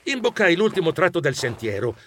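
Bashful, fretful gentleman mouse narrator, children's audiobook. Fairly high pitch, animated swings, close dry sound. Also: brisk, a little hiss or hum. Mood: wondering, animated. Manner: expressive, fretful, easily embarrassed. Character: anxious, bashful gentlemanly male mouse, telling his adventure.

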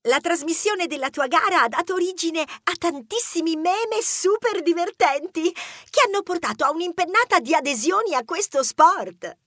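Friendly young mouse, female actor, cheeky, high-pitched, children's audiobook, dry close recording. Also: animated, moderate pace, hardly any pauses, a bit bright, no echo. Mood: chirpy, matter-of-fact.

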